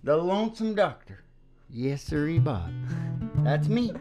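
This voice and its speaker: A man's voice: on the high side, big pitch swings, measured pace, loud music behind the voice. A man hosting a radio show in a casual, rambling comic monologue, with a folksy, theatrical way of talking. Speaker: radio show host and singer.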